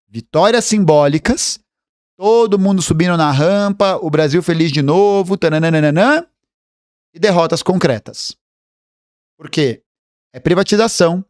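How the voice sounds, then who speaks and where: A Brazilian man delivers political commentary in a quick, argumentative, lecturing flow. His voice sits fairly high for a man. The sound is dry and close, with no room echo. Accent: Brazilian Portuguese. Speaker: host commenting on political video clips.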